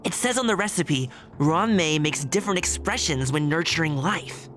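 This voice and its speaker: A woman's voice: sits low, expressive, moderate pace, a bit bright, a little hiss or hum. A woman playing a playful, chatty, teasing young woman in a video game. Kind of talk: video game character acting.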